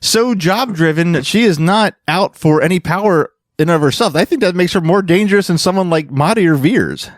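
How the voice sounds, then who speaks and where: A man with a slightly high voice hosts a podcast in a very dry, close recording. He explains things informally, as if to friends, and lets the odd swear word slip. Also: animated, normal speed, few pauses, no room sound. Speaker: podcast host presenting research. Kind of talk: casual explanatory podcast chat.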